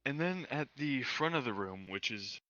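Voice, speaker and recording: A man's voice: mid-range voice, expressive, moderate pace, pushed and bright, muffled recording, slight background noise. A young man casually telling an anecdote to friends, crude and full of swearing. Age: young adult. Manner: crude, profane.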